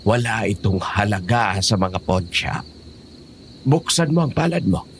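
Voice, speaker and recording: A man's voice: lowish voice, animated, measured pace, slight background noise. A man playing a teasing, protective old grandfather in an audio horror drama. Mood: grave, protective. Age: older. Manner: jokey, fond, cautioning.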